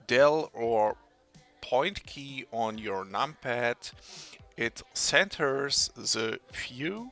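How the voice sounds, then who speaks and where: A German man talks slowly through a software tutorial in English, stopping often to find the right word. His voice is bright and gravelly with creaky fry, and faint music plays underneath. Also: mid-range voice. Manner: slow, halting, searches for English words. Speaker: tutorial maker. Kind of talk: screen-recorded software tutorial.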